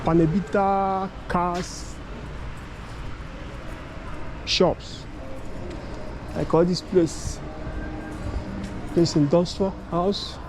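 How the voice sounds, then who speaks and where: A man from Nigeria with a Nigerian accent, casually narrating a walking vlog in simple, halting English. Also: high-pitched, fairly even intonation, over music. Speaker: travel vlogger. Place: outdoors on a city street. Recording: noisy outdoor street, traffic ambience.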